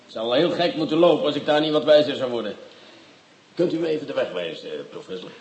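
An actor playing a confident, officious police detective in a radio play, speaking in a brisk, self-assured official manner. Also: male voice, medium pitch, expressive, darkish tone, highs cut off, close to an everyday voice. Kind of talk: Dutch radio play acting. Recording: echoey hall, faint noise.

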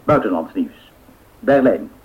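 An actor playing a 1930s radio newsreader, reading bulletins in a formal, measured way in a radio drama. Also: male voice, deep voice, animated. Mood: formal, factual. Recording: tinny, narrow-band radio sound.

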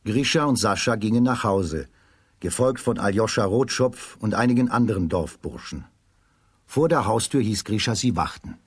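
Children's radio play narrator, man: standard German, husky tone, dry close sound, expressive storytelling. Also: lowish voice, moderate pace, no room sound. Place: dry studio.

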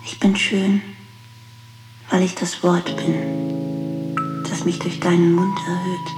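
Hushed, slow woman in love. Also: fairly low pitch, level tone, roomy sound, loud music behind the voice, rough recording. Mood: tender, yearning. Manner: breathy, hushed, slow and measured. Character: Sarah, tender grieving lover, speaking in poetry. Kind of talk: poetic radio play monologue.

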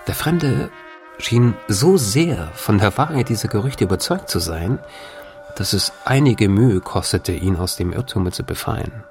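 A man playing an observant, bemused European traveller of old, reading his account in measured, formal language. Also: fairly low pitch, animated, faint music behind.

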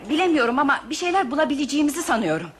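An actress playing a quick-witted, protective female train passenger in a radio play, resolute and formal. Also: high-pitched, big pitch swings, a little hiss or hum. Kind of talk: Turkish radio theatre acting.

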